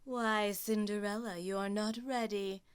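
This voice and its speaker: A woman voicing a cruel, haughty stepmother who gives slow, mocking commands. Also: medium pitch, fairly even intonation, clear, resonant tone, natural voice.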